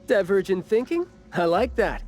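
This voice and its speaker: An actor voicing a calm, thoughtful male investigator in a video game, explaining things plainly. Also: high voice, normal speed, faint music behind.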